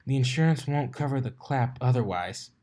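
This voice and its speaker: A man's voice: mid-range voice, animated, normal speed, dark tone, pitched above the performer's own voice, close to an everyday voice. A man puts on a laid-back female unicorn DJ from a pony fan fiction. She sounds nosy and playful, always teasing. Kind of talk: acted fan fiction dialogue.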